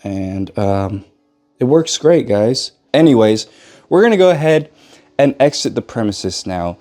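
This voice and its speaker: A young man in his twenties, a bit sick and hoarse, chatting loosely to viewers about his car project. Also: mid-range voice, a little mellow. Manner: loose and joking, addresses viewers as guys. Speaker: car vlogger. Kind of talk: casual vlog chat. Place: home bedroom, then cold outdoor garage.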